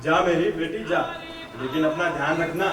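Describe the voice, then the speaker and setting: An actor in a Hindi stage play, playing a protective, fatherly nomad chieftain who gently cautions. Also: fairly high pitch, moderate pace, over music, lightly acted. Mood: concerned, protective. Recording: distant, very echoey auditorium recording.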